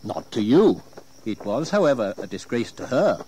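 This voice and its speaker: Casting: male actor for world-weary, scolding gentleman father, expressive intonation, radio play. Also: mid-range voice, moderate pace, a little hiss or hum, natural voice, low-quality recording. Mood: weary, bitter. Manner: reproachful, formal. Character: stern, weary gentleman father scolding his wayward son. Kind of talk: audio drama acting.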